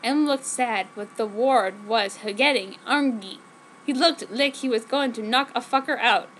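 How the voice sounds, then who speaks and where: A woman's voice: on the high side, a little slow, a bit bright, low-quality recording. A woman reading a story aloud in a mock-dramatic, melodramatic way, tripping over misspelled words. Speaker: amateur fanfiction reader. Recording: noticeable background noise.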